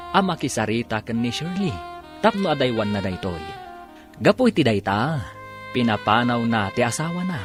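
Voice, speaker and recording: Animated Filipino male drama narrator over music. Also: mid-range voice, moderate pace. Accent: Ilocano Filipino. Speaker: narrator and host of an Ilocano radio drama series. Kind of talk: dramatic scripted radio narration. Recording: loud music bed under the voice. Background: loud background music.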